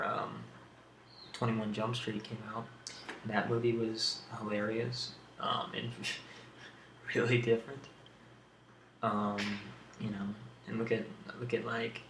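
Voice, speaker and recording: A man speaking in a casual ASMR question-and-answer style, rambling hesitantly in a low-key way. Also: completely flat delivery, slow. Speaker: video creator answering tag questions. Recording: roomy echo, clear background noise.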